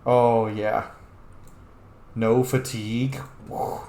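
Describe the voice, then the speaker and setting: A man narrates his own video game session in a mid-pitched voice. His delivery is informal, often a mutter to himself. The room adds noticeable echo, with faint background noise. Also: level tone, drawn-out words, dark tone. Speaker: gaming video host. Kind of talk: casual gameplay commentary.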